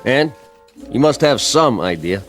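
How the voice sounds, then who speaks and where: Impatient army colonel over music. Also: male voice, mid-range voice, big pitch swings, a little slow, fairly bright tone. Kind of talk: radio drama acting.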